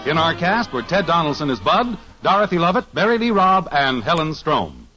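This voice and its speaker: Old-time radio announcer guy, smooth formal read, music under it, muffled. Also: on the high side, very animated, moderate pace.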